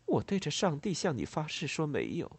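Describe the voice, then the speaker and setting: A man voicing a composed, proud, tender gentlewoman in a storybook, speaking in a measured, earnest and formal way. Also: on the high side, wildly swooping pitch, breathy edge, no echo, voice pushed up, heavily acted. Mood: earnest, firm.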